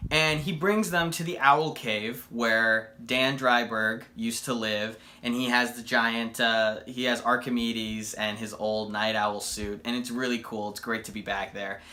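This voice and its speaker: A man with a very bright, forward voice at a middle pitch hosts a casual comic review. He talks enthusiastically and conversationally, as if chatting with fellow fans, and often throws out rhetorical questions. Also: steady tone, measured pace, few pauses. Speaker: comic book reviewer and video host. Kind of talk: casual, unscripted fan review to camera.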